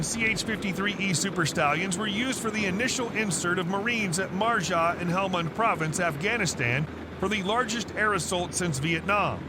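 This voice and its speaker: A man narrates a military news video in a steady, formal read. His voice sits high, and loud engine and outdoor noise rumbles under every line. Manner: even, formal, informational delivery. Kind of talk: scripted narration.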